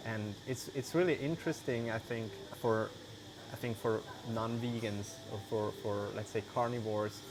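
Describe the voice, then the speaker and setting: A man, an English speaker, talking casually as an interview guest, loose and relaxed, full of "like" and "you know". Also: on the low side, moderate pace, noisy background. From Switzerland. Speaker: guest, vegan cheese maker.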